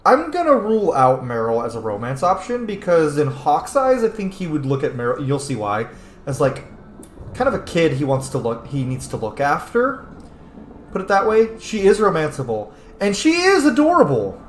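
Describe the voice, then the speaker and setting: A man's voice: on the high side, wildly swooping pitch, moderate pace. A man giving casual, chatty, musing commentary as he plays a video game. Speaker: let's player. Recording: roomy echo with faint background noise.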